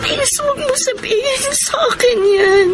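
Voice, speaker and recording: A woman's voice: extremely high pitch, a little slow, music in the background. An actress playing a lovesick young woman in a Filipino radio drama, emotional and slipping between Tagalog and English, with a Filipino accent. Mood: tearful, anguished. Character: lovesick young woman pining for her best friend's boyfriend. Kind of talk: Philippine radio drama acting. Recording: noisy radio drama track with background ambience.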